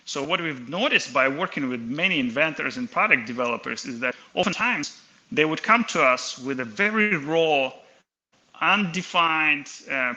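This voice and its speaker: A man's voice: on the high side, bright, forceful tone, poor audio. A man from Kazakhstan in his thirties, an inventor guest talking casually in an interview, hesitant with many fillers and restarts.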